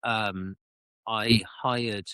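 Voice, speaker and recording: A man's voice: on the low side, steady tone, bright, forceful tone, smooth, no room sound. Reflective British man, dry close sound. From United Kingdom. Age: middle-aged. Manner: halting, reflective, repeats words as he searches for phrasing. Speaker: interview guest, author and former army officer. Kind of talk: personal storytelling in an interview.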